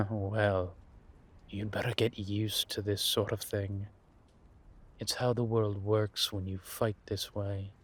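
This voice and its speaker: A man playing a centuries-old vampire spymaster in a roleplay audio drama, patient and wry, speaking in measured phrases with deliberate pauses. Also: on the low side, flat delivery. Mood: reflective, instructive.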